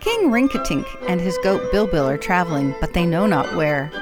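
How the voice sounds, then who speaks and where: A woman's voice: fairly low pitch, big pitch swings, normal speed, over loud music. A woman announcer reading a scripted introduction to a radio drama.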